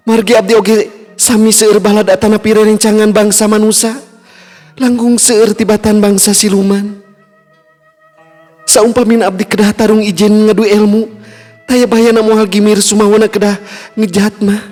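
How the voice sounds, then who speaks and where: A woman's voice: mid-range voice, level tone, normal speed, long pauses, mellow, lightly acted. A woman playing a confident, dutiful jinn princess who speaks formally and respectfully in a Sundanese audio tale. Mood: confident, reassuring. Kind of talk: Sundanese audio storytelling drama. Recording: long hall reverb, music underneath.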